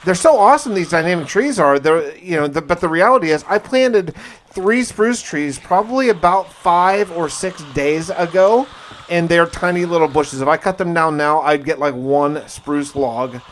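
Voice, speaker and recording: A man's voice: fairly high pitch, moderate pace, a little hiss or hum. A man hosting a gaming video, giving casual first-person commentary with folksy exclamations and mild slang.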